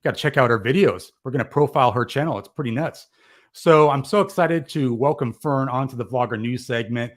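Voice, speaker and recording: A man's voice: medium pitch, fairly quick. A man chatting casually as a live show host, with an enthusiastic, effusive way of talking.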